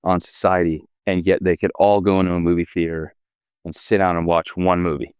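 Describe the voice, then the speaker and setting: A very low-voiced man from Canada in his forties, a guest on a podcast. He talks in animated, quick bursts and often restarts his sentences. The sound is dry and close to the mic. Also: smooth, no echo. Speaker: actor and filmmaker guest. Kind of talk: casual interview chat.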